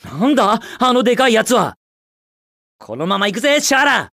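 A man's voice: very high voice, sing-song, normal speed, bright, forceful tone, no echo, clean studio sound, heavily acted. A man voicing a cocky, carefree young hedgehog speedster hero in a video game, with a cool, brash, quippy delivery. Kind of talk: Japanese video game character voice lines.

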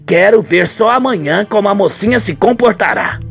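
A man acts out a watchful Brazilian father in a radio soap. His fairly high voice rises and falls freely as he questions and admonishes, with faint music behind. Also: expressive, normal speed, creaky, a bit bright, exaggerated voice. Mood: skeptical. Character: doubtful, watchful middle-aged father of a grown daughter. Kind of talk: amateur radio soap opera acting.

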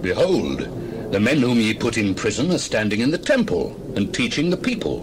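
An actor playing an urgent messenger in a dramatized Bible reading. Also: male voice, mid-range voice, sing-song, a little fast, noisy background, natural voice. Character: urgent messenger bringing startling news.

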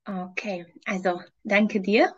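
A woman's voice: medium pitch, fairly even intonation, slow pace, slightly rough, muffled recording. A woman in her thirties from Hungary with a Hungarian accent, answering interview questions over a video call in a hesitant way, with many ums and frequent laughs. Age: 30s. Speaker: interview guest, fitness trainer. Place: home room.

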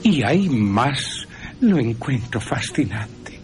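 A man's voice: medium pitch, big pitch swings, slow, a little mellow, soft background music, voice pushed up. A man voicing a romantic young woman in a lyrical, wistful manner, with an accent from Spain. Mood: smitten. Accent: Spanish (Spain). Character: romantic, dreamy young woman reciting poetry.

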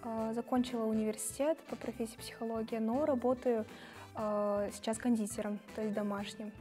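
A woman's voice: a little high, fairly even intonation, a little fast, mellow, soft background music. A woman in her twenties from Kazakhstan answering interview questions lightly, with a lapel mic. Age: 20s. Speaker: show participant. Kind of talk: casual interview. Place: studio with grey backdrop.

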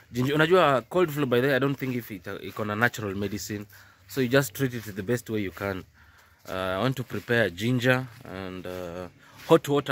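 A man's voice: mid-range voice, a bit bright. A man in his thirties with a Kenyan accent, talking casually to his phone camera while he has a cold, mixing Swahili and English. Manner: mixes Swahili and English, chatty. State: congested with a cold. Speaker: vlogger. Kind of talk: casual vlog talk to camera. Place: outdoors in a rural yard and indoors kitchen. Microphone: phone at arm's length.

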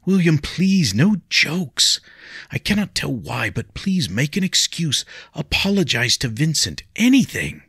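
A man voicing a high, pleading young noblewoman. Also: sing-song, a little slow, fairly bright tone, husky, dry recording, clean studio sound, heavily acted. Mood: desperate. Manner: urgent, pleading.

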